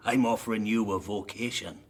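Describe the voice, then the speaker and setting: A man's voice: fairly low pitch, fairly even intonation, unhurried, breathy edge. An actor playing a blunt, fervent socialist miners' union man in a radio drama, speaking persuasively. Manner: forthright, rhetorical, persuasive.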